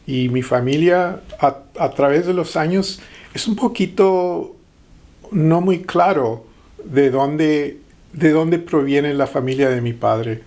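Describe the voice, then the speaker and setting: A middle-aged man from the Texas-Mexico border, a guest in an interview, speaking with hesitant pauses and fillers. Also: on the high side, a little mellow. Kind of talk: interview conversation.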